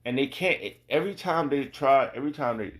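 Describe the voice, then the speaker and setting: A man's voice: mid-range voice, lively intonation, fairly quick, fairly bright tone. A man with an American accent teaching scripture informally, in a colloquial, emphatic way, repeating phrases and checking in with rhetorical questions. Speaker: religious teacher.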